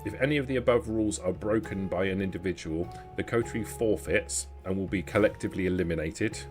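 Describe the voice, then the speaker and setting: A man's voice: deep voice, fast, darkish tone, soft background music. A man acting as game master, explaining rules and lore in a tabletop roleplaying game.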